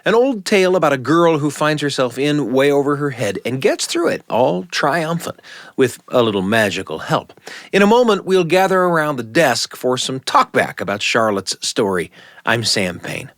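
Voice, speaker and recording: A man's voice: medium pitch, very animated, moderate pace, fairly bright tone, no echo, clean studio sound. A man hosting a radio storytelling show, presenting stories and chatting about them. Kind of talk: scripted hosting and casual talkback. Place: radio studio, at a desk.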